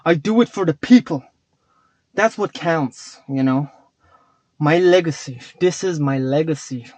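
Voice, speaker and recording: A man's voice: on the high side, a little slow, a little mellow, no echo. A man whose first language is not English, rambling and swearing casually as he talks to his chat in a vlog. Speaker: vlogger. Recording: dull lo-fi sound.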